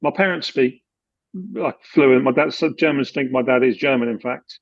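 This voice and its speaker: A man from the UK chatting in an interview, relaxed, with many fillers and restarts. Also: medium pitch, moderate pace, no room sound, lo-fi sound. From United Kingdom. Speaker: guest, expat finance expert. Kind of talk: casual interview conversation.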